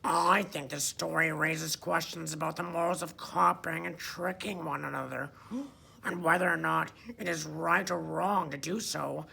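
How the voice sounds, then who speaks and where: A woman's voice: deep voice, steady tone, unhurried, vocal fry, pushed and bright, husky, heavily acted. A woman voicing a wacky, earnest character who discusses children's books in a scholarly way, with some background noise.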